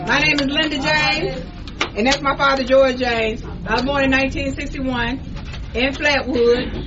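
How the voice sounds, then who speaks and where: A woman, quietly introducing old family photos at a reunion. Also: high-pitched, steady tone, moderate pace. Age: 60s. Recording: muffled, distant, crowd chatter behind.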